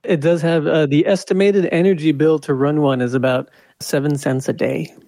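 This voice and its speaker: Slightly high-voiced man, close dry sound. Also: fairly even intonation, normal speed, creaky, dark tone, no echo. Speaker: podcast co-host. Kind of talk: casual chat, presenting odd news.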